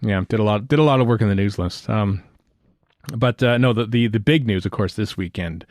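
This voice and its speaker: A man from Western Canada with a Canadian accent, hosting a podcast in a rambling, halting, jokey style of casual chat. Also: on the low side, darkish tone, dry recording, clean studio sound. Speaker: podcast host.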